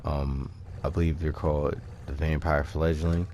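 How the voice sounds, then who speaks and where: Low-voiced man casually explaining a game build. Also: little pitch movement, mellow, a little hiss or hum. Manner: halting, informal slang. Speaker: gaming video creator presenting character builds. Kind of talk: casual unscripted gameplay walkthrough commentary.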